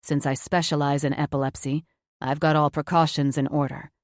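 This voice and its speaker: A woman voicing a tender, remorseful man, a doctor, speaking low and earnestly. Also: little pitch movement, a little fast, dry recording, studio quality, close to an everyday voice.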